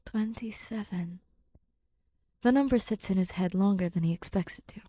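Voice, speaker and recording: A woman's voice: mid-range voice, quick pace, mellow, no room sound. A woman narrating a written story expressively, as an audiobook-style reader.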